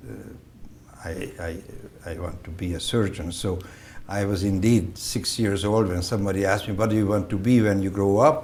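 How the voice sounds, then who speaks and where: Halting older man, very deep voice. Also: darkish tone, a little hiss or hum. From Hungary. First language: Hungarian. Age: 70s. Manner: slow and halting, many fillers and restarts. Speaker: interview guest, vascular surgeon. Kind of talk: recorded oral-history interview.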